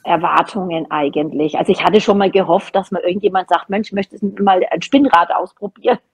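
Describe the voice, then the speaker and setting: A woman's voice: fairly low pitch, a little fast, breathy edge. A middle-aged woman speaking casually as a guest in a podcast conversation. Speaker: podcast guest, hobby knitting creator. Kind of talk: casual chat. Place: small home room at a table, indoors.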